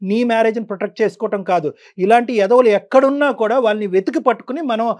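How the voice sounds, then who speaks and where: A woman giving forceful, exhorting advice as a counsellor on a talk show. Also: fairly high pitch, quick pace. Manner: emphatic, exhorting.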